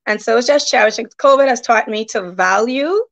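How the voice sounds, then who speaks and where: A woman's voice: on the high side, brisk, vocal fry. A woman speaking earnestly as a guest in a conversational online panel, on dull lo-fi call audio.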